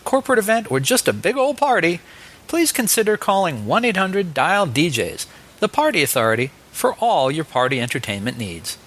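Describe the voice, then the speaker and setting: An American man works as a podcast host in interviews and sponsor reads. His voice sits high for a man, with a bright, forward edge. The delivery moves around a lot in pitch.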